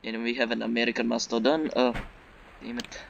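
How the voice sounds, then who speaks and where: A man's voice: medium pitch, little pitch movement, fairly quick, gravelly, a little hiss or hum. A man from Russia with a Russian accent, giving casual, rambling gameplay commentary and often repeating himself. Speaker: YouTube game reviewer. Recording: dull lo-fi mic with music behind.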